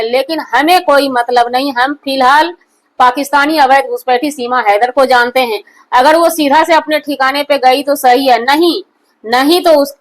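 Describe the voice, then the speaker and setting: A woman's voice: high voice, low-quality recording. A woman gives opinionated news commentary in an emphatic, repetitive and accusatory manner. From India. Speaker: online commentary host.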